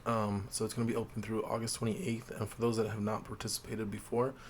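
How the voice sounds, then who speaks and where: A man with a sore throat and a slightly hoarse voice, hosting a tabletop game video and explaining things quietly to viewers with lots of ums. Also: level tone, quick pace, slight background noise. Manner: quiet, low-key delivery with frequent fillers. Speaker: host of a tabletop game channel. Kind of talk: casual explainer talk to viewers. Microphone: desk mic with gain turned up.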